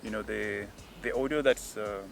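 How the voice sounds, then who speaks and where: A man explaining a technical process outdoors in a hesitant, measured way, with many fillers. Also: on the low side, steady tone, creaky, fairly bright tone, a little hiss or hum. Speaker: guest expert. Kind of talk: casual explanatory interview. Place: outdoors, windy.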